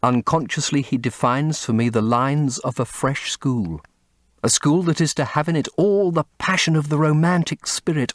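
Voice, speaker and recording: A man's voice: mid-range voice, dry recording, studio quality. A man voicing an earnest, intense painter who speaks in an impassioned, confessional way, as dramatic audiobook acting. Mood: rapturous. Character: earnest, intense male painter, devoted and anguished.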